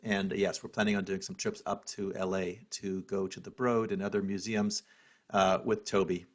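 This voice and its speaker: A man's voice: low voice, flat delivery, normal speed. A man hosting an online question-and-answer session on a video call, talking casually with many fillers. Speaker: program host.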